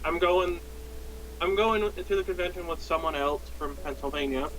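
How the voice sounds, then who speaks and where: A man's voice: fairly high pitch, normal speed, fairly bright tone, slight background noise, poor audio. A young American man telling a personal story casually, in a rambling, self-deprecating way. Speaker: podcast storyteller. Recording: background music throughout. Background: music.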